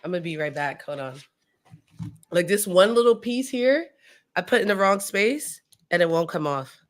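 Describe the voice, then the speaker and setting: A woman's voice: on the low side, expressive, moderate pace. A woman streamer chatting casually and informally, with slangy phrasing, while she builds. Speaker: streamer co-hosting a Lego build.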